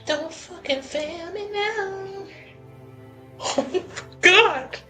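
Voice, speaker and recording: A woman talks casually as a player in a tabletop game. Her voice is bright and mid-pitched, with an unhurried, slow pace and faint music underneath.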